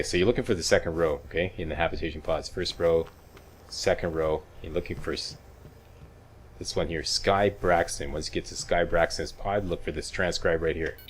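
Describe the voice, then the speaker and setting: Male walkthrough host, deep register, casual and instructive, game ambience faintly underneath. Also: slight background noise. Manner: informal, chatty, step-by-step guiding. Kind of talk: casual video game commentary.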